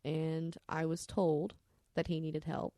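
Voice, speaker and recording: A woman's voice: sits low, completely flat delivery, slow, dark tone, no room sound, clean studio sound. A woman podcast storyteller telling personal stories in a candid, rambling, salty-tongued way.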